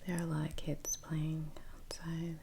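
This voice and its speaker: A woman speaking in a hushed, gentle, whispered ASMR style, murmuring casual painting commentary with long pauses, close to the microphone. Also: low-pitched, completely flat delivery, creaky, slight background noise. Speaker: ASMR creator painting on camera.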